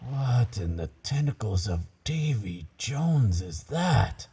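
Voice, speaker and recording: A man playing a gruff, curious werewolf pirate captain in an audio roleplay, with a low gravelly voice, a stage pirate accent and slow, musing seafaring talk. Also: very animated, mellow, full character voice. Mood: baffled, wary.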